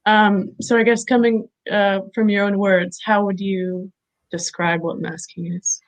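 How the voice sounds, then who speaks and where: A woman's voice: medium pitch, level tone, measured pace, poor audio. A woman hosting an online video talk, friendly and hesitant, with many ums. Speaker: talk host. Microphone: video call.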